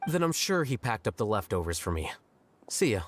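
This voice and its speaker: An actor playing an aloof, rational young male scholar in a video game, measured, detached and matter-of-fact. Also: fairly low pitch, very animated, slight background noise. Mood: dry, indifferent. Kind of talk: video game character acting.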